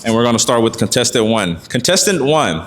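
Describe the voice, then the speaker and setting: A man's voice: mid-range voice, big pitch swings, brisk. Playful man hosting a blind date. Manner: playful teasing banter. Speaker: host. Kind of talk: public interview show.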